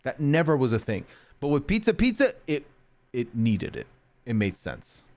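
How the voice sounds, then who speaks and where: A man's voice: medium pitch, huge pitch swings, normal speed, a little mellow. A man streaming and answering chat in a rambling, casual way with frequent laughs, his accent sometimes taken for British. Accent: mixed, sometimes heard as British. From North America. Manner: chatty, rambling, laughs often.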